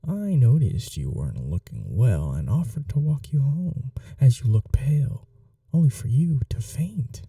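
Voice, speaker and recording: A man playing a gentle, old-world vampire gentleman in an intimate ASMR roleplay, speaking in a polite, soothing, softly formal way. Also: medium pitch, very animated, unhurried, dry recording, clean studio sound, far from the mic. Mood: gentle, reassuring.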